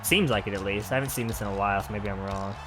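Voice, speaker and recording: A man's voice: on the low side, rapid-fire, music in the background. A man giving casual, conversational gameplay commentary like a chatty fan. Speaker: video game commentator.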